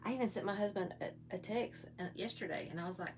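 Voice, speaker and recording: A middle-aged woman with a slightly hoarse voice, chatting in a folksy, rambling way while she shows her makeup routine. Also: medium pitch, fast talker, faint music behind. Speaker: makeup vlogger. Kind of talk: casual makeup tutorial chat.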